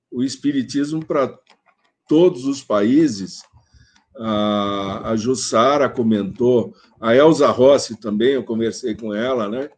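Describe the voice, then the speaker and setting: A man from Brazil with a Brazilian Portuguese accent, speaking at length as a panel guest in a live online conversation, with many fillers and pauses. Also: mid-range voice, darkish tone. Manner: rambling, hesitant, many fillers. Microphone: video call.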